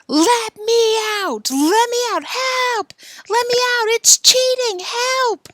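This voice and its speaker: A woman voicing a stubborn young boy who yells, whines and chants in a high voice. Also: animated, normal speed, dry recording, clean studio sound. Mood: frantic. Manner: shrill yelling and chanting.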